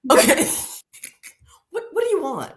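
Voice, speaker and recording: A woman hosting a casual interview podcast, telling stories and acting out what others said with lively, playful quotes. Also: very high voice, takes it slowly, roomy sound. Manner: chatty storyteller, acts out quotes, says "girl" and "y'all". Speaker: podcast host.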